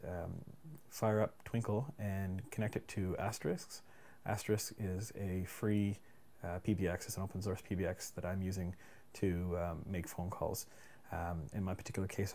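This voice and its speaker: A man with a fairly low, mellow voice walks through a software demo. He explains things plainly and informally, with some hesitation, as in a casual screencast. There is faint noise in the recording. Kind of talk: casual screencast tutorial narration. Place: indoors at a computer. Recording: clear background room noise.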